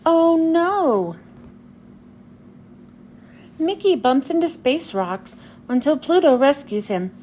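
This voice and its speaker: A woman narrates a children's storybook in a high voice. Her pitch moves in lively, expressive swings. There is faint background noise on the recording. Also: takes it slowly, long pauses. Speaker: children's book reader. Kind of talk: storybook read-aloud narration.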